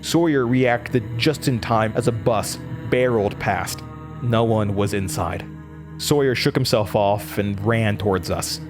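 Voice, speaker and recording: Young male student narrator for horror audio drama played by a male actor: slightly low pitch, haunted, dramatic delivery, clear music bed. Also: unhurried, close to an everyday voice. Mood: tense. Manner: dramatic, haunted, stammers under stress. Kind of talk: horror audio drama first-person narration, acting out dialogue.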